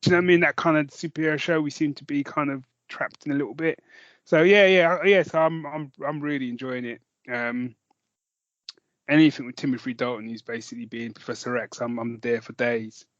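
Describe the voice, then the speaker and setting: A man's voice: medium pitch, steady tone, brisk, dry recording, muffled recording. A man chatting casually as a podcast co-host, rambling and jokey in a self-deprecating way.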